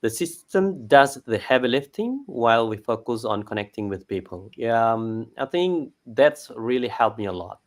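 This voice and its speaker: A man from Indonesia, a guest on a live panel, speaking politely and in a measured way. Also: mid-range voice, lively intonation. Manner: polite, measured, a few "you know"s. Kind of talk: casual panel chat.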